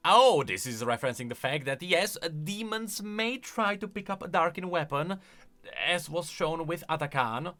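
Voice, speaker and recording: A man's voice: a little high, lively intonation, moderate pace, sharp tone. A man hosting a video, giving off-the-cuff, emphatic commentary that explains game lore.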